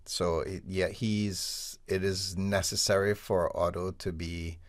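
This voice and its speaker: A man's voice: low voice, takes it slowly, dry recording, studio quality. A man with a Caymanian accent, an actor talking casually and with self-deprecating humor as a radio guest. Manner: relaxed, self-deprecating, joking.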